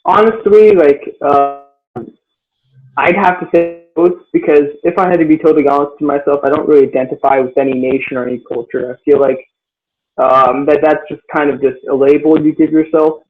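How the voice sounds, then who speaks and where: Teen male guest, slightly high voice, halting with lots of fillers, narrow phone-like sound. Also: fairly even intonation. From United States. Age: teens. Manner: hesitant, many fillers and restarts. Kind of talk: casual interview answers. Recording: tinny phone-like line.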